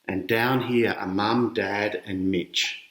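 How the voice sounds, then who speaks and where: A man's voice: on the low side, fairly even intonation, slow, fairly bright tone. Australian man reading aloud in an echoey room. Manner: addresses children directly with questions and explanations. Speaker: children's author reading aloud. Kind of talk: picture book read-aloud with conversational asides to young listeners. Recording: roomy, echoey indoor sound.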